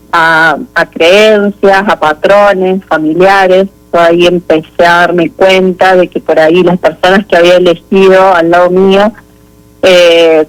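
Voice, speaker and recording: A woman with an Argentine Spanish accent giving a halting, introspective personal account as an interview guest. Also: on the low side, poor audio.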